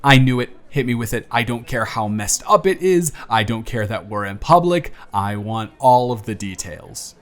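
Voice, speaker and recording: A man's voice: medium pitch, animated, moderate pace, few pauses, lightly acted. A man playing a charming, teasing young man on a blind date, chatty and playful in a romantic audio roleplay. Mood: teasing, eager.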